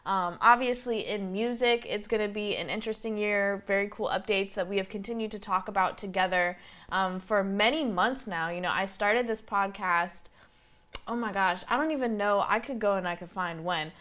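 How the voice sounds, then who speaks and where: A young woman with a slight Southern twang hosts her podcast, rambling through it with lots of fillers. Her voice is smooth and clean, and her pitch sits in the middle range. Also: moderate pace, fairly bright tone. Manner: chatty, rambling, many fillers. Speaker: podcast host. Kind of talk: casual solo podcast monologue.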